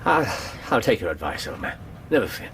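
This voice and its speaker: Very low male voice, English, weary proud detective, sardonic, brusque, radio play, faint noise. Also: big pitch swings, a little fast. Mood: restless, eager. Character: brilliant detective, ill, weary and melancholy yet proud. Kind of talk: radio drama acting.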